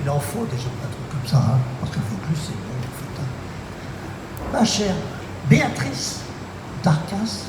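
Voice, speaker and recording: A man with a mid-pitched voice hosts a casual radio chat, rambling and repeating himself as he introduces his guest. The room is echoey with a long reverb, and noise is clearly heard behind him. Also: wildly swooping pitch, measured pace, long pauses. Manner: chatty, loose and repetitive. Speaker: radio host.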